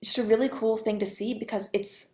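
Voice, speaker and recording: A woman's voice: fairly high pitch, steady tone, a little fast. A woman giving an earnest personal testimonial as a fan. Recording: echoey room.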